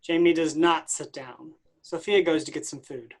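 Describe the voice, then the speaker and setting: A woman's voice: sits low, steady tone, moderate pace. A woman giving scripted narration, reading out the stage directions of a play.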